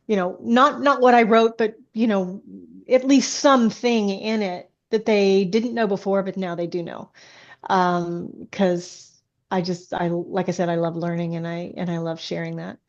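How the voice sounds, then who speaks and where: A woman's voice: medium pitch, steady tone, unhurried, muffled recording. A woman author answering questions casually as a guest on a podcast.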